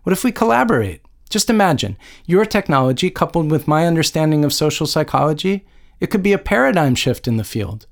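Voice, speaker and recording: A man's voice: a little high, big pitch swings, a little fast, gravelly, pitched above the performer's own voice. A man voicing an older woman academic in a story, a doting mother who is earnest, persuasive and placating.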